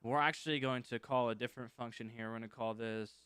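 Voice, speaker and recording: A man's voice: mid-range voice, steady tone, fast talker, fairly bright tone, clean tone, no room sound. A man casually teaching scripting on a live stream, thinking aloud as he works and chatting with viewers. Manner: thinks aloud while working, loose and informal, reads chat and answers.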